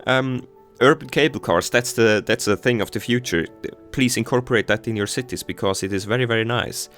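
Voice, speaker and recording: Halting young German-speaking guy, faint music. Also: mid-range voice, fairly bright tone. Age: teens. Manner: halting, many fillers and restarts. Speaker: city-building game creator. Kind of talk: casual gameplay commentary.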